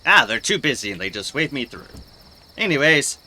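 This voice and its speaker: A slightly hoarse man streaming a video game, chatting casually and playfully to himself as he plays. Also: mid-range voice, very animated, moderate pace, sharp tone, slight background noise. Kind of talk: casual live gameplay commentary.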